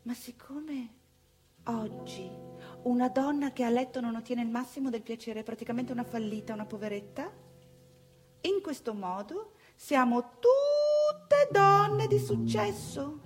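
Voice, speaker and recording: High-pitched, prim moralizing wife. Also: female voice, expressive, soft background music. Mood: indignant, sarcastic. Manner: affected, emphatic, drawn-out delivery with long pauses. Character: prim, self-righteous middle-class wife and mother, moralizing, brittle. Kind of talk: theatrical satirical monologue.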